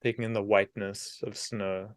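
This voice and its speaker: A man leading a guided meditation in a soothing, unhurried manner, leaving long pauses between short phrases. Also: on the low side, little pitch movement, no echo.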